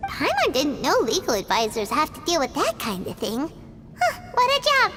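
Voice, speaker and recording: A woman voicing a tiny, childlike fairy companion in a video game, squeaky, excitable and curious. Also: moderate pace, in a large hall, over music. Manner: refers to self in third person, exclamatory.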